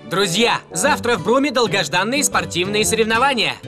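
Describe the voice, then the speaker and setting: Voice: mid-range voice, expressive, moderate pace, over music, natural voice. A performer playing a confident, reassuring rescue police car, the friendly team leader in a children's cartoon, upbeat in manner.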